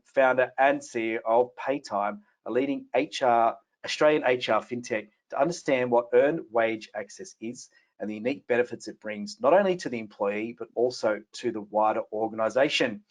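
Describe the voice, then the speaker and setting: A man's voice: mid-range voice, normal speed, low-quality recording. A man hosting a live business webinar, reading a scripted opening and then asking loose questions to a panel. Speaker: webinar host, company CFO.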